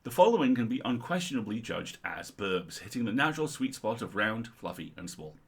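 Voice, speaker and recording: A man's voice: fairly low pitch, sing-song, brisk, gravelly, breathy edge. A middle-aged man co-hosting a livestream, reading a humorous article aloud with wry, playful and sweary asides.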